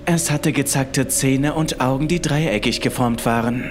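A man's voice: mid-range voice, a little slow. A man reading a scripted first-person horror story as its narrator. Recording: music behind the voice. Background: background music.

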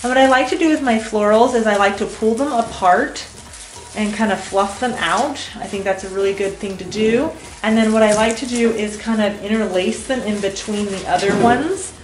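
A woman's voice: medium pitch, normal speed, low-quality recording. A woman in her thirties gushing over home decor in a casual vlog, recorded at home on a camera mic. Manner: enthusiastic, gushing. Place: home dining room.

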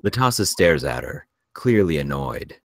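Very deep male voice, dry close sound, scripted narration, measured delivery, screenplay stage directions. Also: very animated, dry recording.

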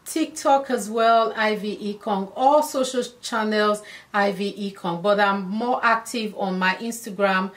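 A woman in her thirties talks viewers through outfits in her dressing room, calling them her darlings. She speaks slowly and easily at a middle pitch. Her voice is slightly hoarse, as if she is losing it. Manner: chatty, affectionate, addresses viewers as darlings. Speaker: fashion vlogger. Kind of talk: casual styling talk to camera.

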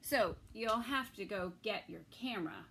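A middle-aged woman reading a picture book aloud to toddlers, expressively, with pauses between phrases. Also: mid-range voice, expressive, creaky, a bit bright, slight background noise. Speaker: children's story time reader. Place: small indoor room with bookshelves.

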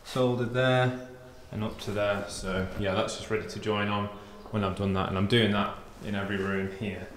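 Echoey, low-voiced English man. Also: little pitch movement, moderate pace, hardly any pauses. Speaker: DIY renovator and plumber demonstrating pipework. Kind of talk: casual hands-on tutorial. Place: indoors, empty room under renovation. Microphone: handheld or propped camera at close range. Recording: echoey bare room, some noise.

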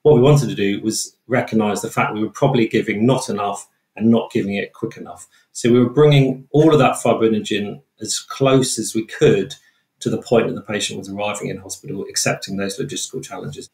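British man, expert guest, lower register, measured explanations, roomy recording. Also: fairly even intonation, vocal fry, darkish tone. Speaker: trauma researcher, interview guest. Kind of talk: explanatory interview answers. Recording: roomy, echoey sound.

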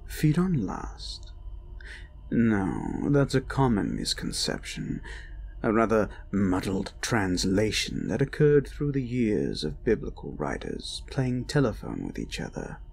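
A man playing an ancient, cruel incubus demon in a dark roleplay audio, mocking and gloating, with slow menace and breathy whispers. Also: on the low side, wildly swooping pitch, a little hiss or hum, full character voice. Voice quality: creaky, breaking into breathy whispers. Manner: slow, menacing, taunting, drawn-out. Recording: ominous music behind the voice.

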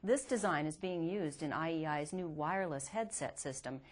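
Lady reporter, lowish voice, reading a scripted promo product story. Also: moderate pace.

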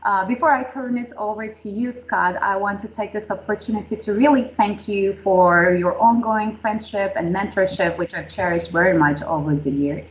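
A woman in her thirties hosting a livestream interview over a video call, chatty and friendly, with filler words. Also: mid-range voice. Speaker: host. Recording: muffled video call audio.

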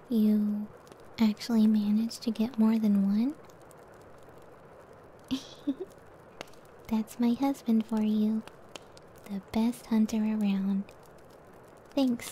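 A woman playing a gentle, affectionate cyclops giantess wife in an ASMR roleplay, speaking softly and soothingly close to the mic. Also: on the high side, slight background noise. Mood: pleased, affectionate. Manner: soft, breathy, tender, soothing. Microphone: close ASMR microphone.

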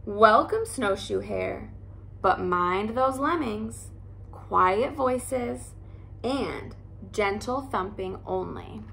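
A woman voicing a gentle young male muskox in a picture book, setting calm, firm rules for his guests. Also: medium pitch, very slow, creaky, a little hiss or hum, exaggerated voice. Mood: firm kindly.

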